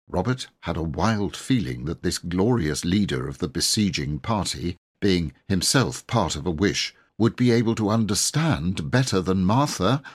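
A man's voice: low-pitched, husky. A man narrating a story expressively from a script as an audiobook reader.

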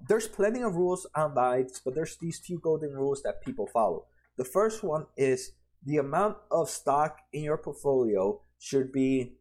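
A man in his twenties explaining retirement funds over slides in a casual way, often restarting and adding "right?". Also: mid-range voice. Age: 20s. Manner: conversational, many restarts and "right?" tags. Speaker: personal finance explainer.

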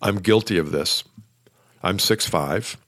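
A middle-aged man with a fairly low voice hosts his own podcast and delivers a solo talk. He speaks loudly and emphatically, pressing his points like a coach. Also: slow. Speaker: podcast host.

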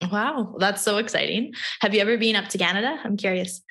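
A woman's voice: medium pitch, fairly quick, bright, forceful tone. A woman hosting a podcast, reading a scripted intro and then interviewing a guest with an upbeat, enthusiastic manner. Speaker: podcast host.

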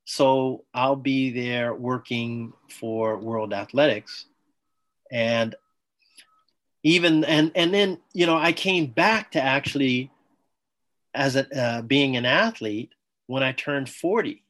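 A man, a former athlete, talking as a guest on an interview podcast, telling his story with enthusiasm in quick bursts. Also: mid-range voice, fairly bright tone. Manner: enthusiastic, talks in bursts, acts out quoted dialogue.

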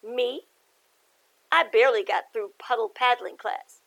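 A woman's voice: on the high side, measured pace, fairly bright tone. A woman voicing a shy young female mouse who narrates her own story. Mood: timid.

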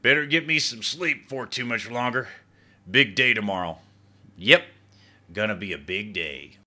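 A man's voice: on the low side, expressive, measured pace, pushed and bright, voice pushed up, heavily acted. A man voicing a tired, laid-back athlete who talks in a folksy drawl. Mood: weary.

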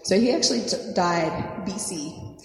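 A woman preacher with a croaky, hoarse voice from a sore throat, praying softly with many fillers, then reading scripture and preaching. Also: lowish voice, a little slow. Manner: soft, hesitant prayer with many fillers. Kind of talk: sermon with prayer and scripture reading. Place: church hall. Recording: echoey hall, faint noise.

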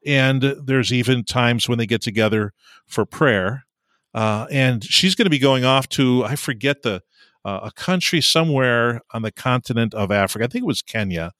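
A man's voice: medium pitch, expressive, normal speed, a bit bright, no room sound, clean studio sound. A man co-hosting a podcast in a casual, chatty, jovial and teasing way. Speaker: podcast host.

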